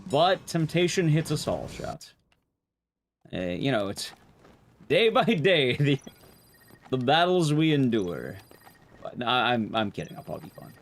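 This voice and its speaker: A young man streaming to his chat, talking casually, rambling and chatty with sudden jumps in pitch. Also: sing-song, unhurried, long pauses.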